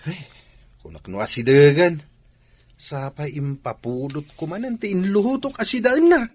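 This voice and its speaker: A man's voice: on the high side, sing-song, normal speed, darkish tone. An actor with an Ilocano accent playing a fussy, chatty father at home in a comedy drama.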